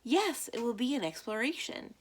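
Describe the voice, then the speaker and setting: An eager little girl from a children's storybook, read by a woman. She is curious and inquisitive, with lively, rising and falling intonation. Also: a little high, normal speed, a little hiss or hum, voice pushed up.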